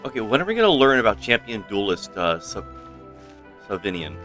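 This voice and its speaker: Low, bright-voiced man streaming a game. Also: sing-song, fairly quick, faint music behind. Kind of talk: casual live gameplay commentary.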